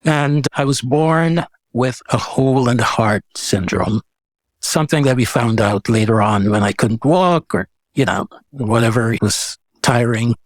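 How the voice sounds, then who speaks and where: A man's voice: medium pitch, measured pace, no room sound. An older man talks as an interview guest. His delivery is casual and reflective, like someone recounting his own life, with frequent conversational asides. The sound is dry, with little room in it. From Guyana. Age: 70s. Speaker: guest, visual artist.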